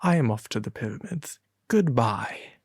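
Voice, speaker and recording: A man voicing a vain, fussy but soft-hearted male swallow in a soft, theatrical storybook reading. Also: medium pitch, expressive, moderate pace, no echo, studio quality, voice pushed down, lightly acted. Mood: indignant. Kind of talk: soft storybook character acting.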